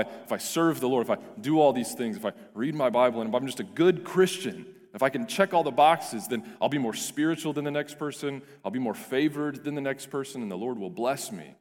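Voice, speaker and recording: Hoarse, raspy pastor in a reverberant hall. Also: male voice. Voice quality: deep and raspy. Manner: earnest, with frequent restarts and repeated words. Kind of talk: prayer and sermon. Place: church sanctuary. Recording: reverberant church hall with soft music.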